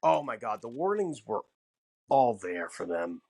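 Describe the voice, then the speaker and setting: A man co-hosting a podcast, explaining things casually in halting, piecemeal phrases. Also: mid-range voice, big pitch swings, gravelly, a little mellow, no echo, studio quality. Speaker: podcast co-host.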